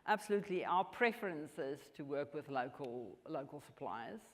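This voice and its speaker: A woman in her fifties from South Africa, with a South African accent and Afrikaans as her first language, answering interview questions in an earnest, measured way with frequent restarts. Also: sits low, lively intonation. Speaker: mining executive guest. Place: large function hall. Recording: long hall reverb.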